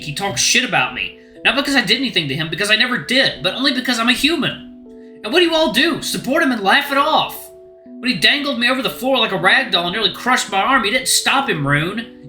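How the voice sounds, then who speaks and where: A man's voice: high-pitched, pushed and bright, roomy sound, full character voice. A man voicing a bitter, exhausted young human man who talks in a raised, resentful way.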